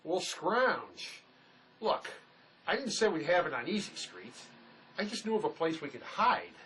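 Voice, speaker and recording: A man plays a resourceful convict on the run in a drama, easygoing and folksy. His voice is on the higher side with a very mobile pitch. Light noise sits behind it. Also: big pitch swings, a little slow, a bit bright, poor audio. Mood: dry, reassuring. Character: laid-back, resourceful escaped convict, local fisherman type. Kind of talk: dramatic script performance.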